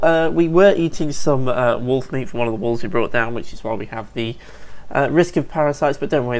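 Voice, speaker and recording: A man's voice: on the high side, darkish tone. Chatty man, a bit sniffly. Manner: chatty, upbeat, talks to viewers as "folks". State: sniffly from allergies. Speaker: let's play gamer. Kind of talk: casual gameplay commentary.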